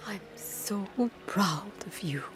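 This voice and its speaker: An actress playing a middle-aged mother figure in a game cutscene, slow and coaxing, with a demonic will hidden beneath. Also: medium pitch, lightly acted. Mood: tender coaxing. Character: false loving mother hiding a menacing demonic will. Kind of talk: dramatic game cutscene acting. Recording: dramatic music underneath.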